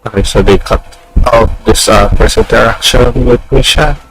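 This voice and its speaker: A man's voice: mid-range voice, moderate pace, a bit bright, soft background music, low-quality recording. A man hosting a gaming channel, giving casual commentary as he plays through a game.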